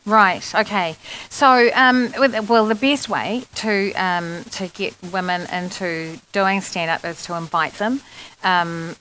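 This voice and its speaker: A woman comedian answering an audience question on a panel, relaxed and full of ums. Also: mid-range voice, slight background noise, poor audio.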